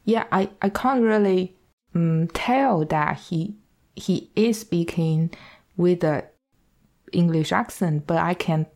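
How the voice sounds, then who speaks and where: A woman's voice: on the low side, slow, darkish tone. A woman in a casual film discussion, speaking as a panelist with thoughtful, hesitant phrasing.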